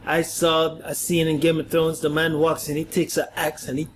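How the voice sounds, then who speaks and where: Jamaican-accented guy on a radio chat, kinda high voice, emphatic, faint hiss behind. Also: monotone, moderate pace. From Ghana. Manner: emphatic, repeats "yes". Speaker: guest. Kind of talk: casual chat. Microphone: radio studio mic.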